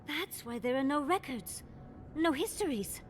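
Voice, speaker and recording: Casting: inquisitive woman lore scholar, high-pitched, lively intonation, fantasy game cinematic. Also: measured pace, a little hiss or hum. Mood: eager realization. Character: curious female scholar of old stories. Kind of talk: scripted game dialogue.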